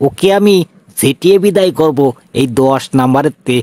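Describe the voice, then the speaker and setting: A man's voice: fairly high pitch, moderate pace, a little mellow, no room sound, close to an everyday voice. A man voicing a boastful, comically tough cartoon police inspector, emphatic, with a rural Bangladeshi accent. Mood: determined, blustering. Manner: swaggering, emphatic.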